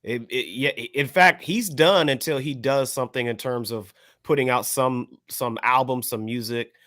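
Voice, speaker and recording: Man, podcast co-host, medium pitch, casual commentary, deliberate wordy phrasing. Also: fairly bright tone, no room sound, clean studio sound. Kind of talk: casual hip hop panel commentary.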